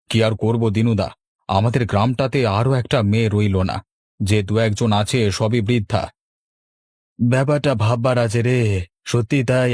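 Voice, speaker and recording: A man's voice: mid-range voice, darkish tone, no echo, studio quality, natural voice. A man playing an earnest middle-aged village uncle in a cartoon, explaining things in a persuasive, avuncular way. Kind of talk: Bengali cartoon dubbing.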